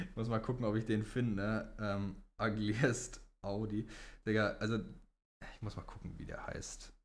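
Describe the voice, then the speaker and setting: A man's voice: fairly low pitch, fairly even intonation, unhurried. A young man chatting casually as a podcast co-host, with slangy, sometimes crude wording. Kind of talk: casual chat about Formula One.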